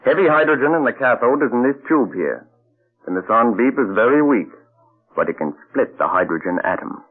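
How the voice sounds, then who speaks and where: An actor playing a cold, measured male mad scientist who threatens quietly, in an old radio drama heard as a tinny broadcast. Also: medium pitch, lively intonation. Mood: menacing. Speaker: villain inventor. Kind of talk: 1940s radio acting.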